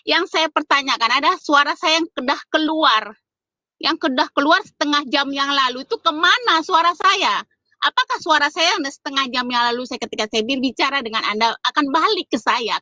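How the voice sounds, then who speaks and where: A woman's voice: sits high, pushed and bright, dry recording. A woman in an informal online debate, insistently repeating her point and questions as she argues. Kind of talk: informal spontaneous argument. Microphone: phone on an online call.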